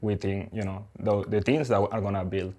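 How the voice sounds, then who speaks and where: A man's voice: lowish voice, steady tone, normal speed, warm tone. A man from Galicia, a lead developer, chatting in a friendly way and often checking in with "right?". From Galicia, Spain. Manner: friendly, keeps adding "right?". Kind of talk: conference interview chat.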